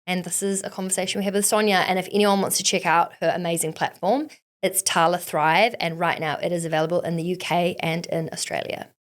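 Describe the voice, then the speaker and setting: Lower-pitched woman hosting a casual podcast. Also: level tone, brisk. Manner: informal, emphatic, uses "like" often. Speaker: podcast host. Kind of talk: casual interview chat.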